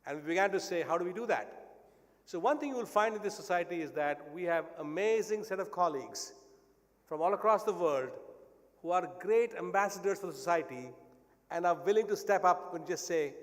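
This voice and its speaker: A man's voice: sits high, lively intonation, brisk. A man, a society president, giving a conversational conference address with fillers and restarts, in a large lecture hall. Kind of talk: conference speech. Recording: long hall reverb.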